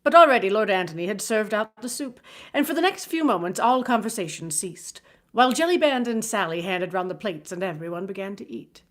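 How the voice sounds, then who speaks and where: A woman reading a classic novel aloud in an expressive storybook narration style. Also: mid-range voice, brisk. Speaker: audiobook reader.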